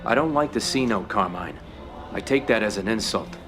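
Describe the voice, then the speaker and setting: An actor playing a tough, vengeful mob boss in a video game, speaking bluntly and tersely. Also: male voice, lowish voice, lively intonation, normal speed, music in the background, close to an everyday voice. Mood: guarded. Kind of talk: video game crime drama acting.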